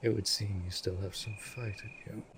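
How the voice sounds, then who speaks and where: A man playing a cold, possessive vampire master in an audio drama, speaking in a formal, commanding, measured way. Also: fairly low pitch, steady tone, a little hiss or hum, natural voice, poor audio. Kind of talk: scripted roleplay audio drama.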